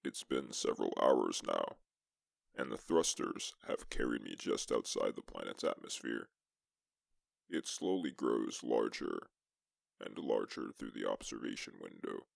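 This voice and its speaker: A man narrating as a lone astronaut explorer in a suspense science-fiction story, with a gravelly voice and measured, deliberate delivery. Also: a bass voice, no room sound, exaggerated voice. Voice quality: gravelly, creaky. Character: lone male astronaut explorer recounting a tense exoplanet mission. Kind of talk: scripted first-person sci-fi story narration.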